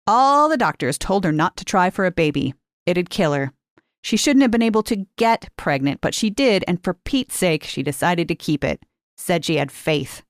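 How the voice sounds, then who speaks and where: A woman's voice: lowish voice, expressive, normal speed, no echo, clean studio sound, natural voice. A woman voicing a stern, curt elderly retired teacher who talks in clipped, blunt sentences.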